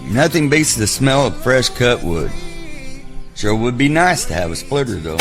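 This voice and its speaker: An actor playing a folksy, crude, wisecracking buddy in an audio drama, blunt and game for a fight. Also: male voice, medium pitch, very animated, normal speed, over music. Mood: joking.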